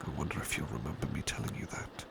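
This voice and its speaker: A man playing a devoted, gentle British butler in an ASMR roleplay, speaking softly and breathily, often in a whisper, with tender deference. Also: fast talker, lightly acted. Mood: soothing, affectionate. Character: devoted, gentle male butler soothing a sleepy master. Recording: clear background ambience noise, rain. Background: rain ambience.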